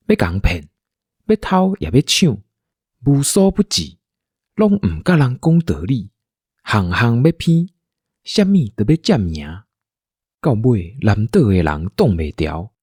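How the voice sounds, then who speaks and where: A man's voice: fairly low pitch, darkish tone, breathy edge, no room sound, clean studio sound. A man with a Taiwanese accent narrating a scripted radio drama as a storyteller.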